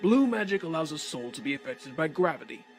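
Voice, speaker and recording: A man's voice: fairly high pitch, very animated, fairly quick. Man playing a stern, clinical scientist over music. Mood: instructive. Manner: formal, precise, impatient. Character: stern, clinical male scientist giving a lesson. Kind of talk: dubbed comic voice acting.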